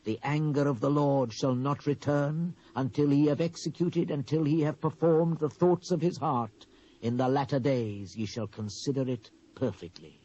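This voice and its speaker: A man's voice: medium pitch, steady tone, normal speed, warm tone, natural voice. Man acting a stern British prophet, muffled recording. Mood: wrathful, commanding. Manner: solemn, declamatory, swelling and dropping for emphasis. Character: stern, wrathful male Hebrew prophet speaking for God. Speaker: Bible narrator. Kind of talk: dramatised King James scripture reading. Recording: dull lo-fi cassette transfer.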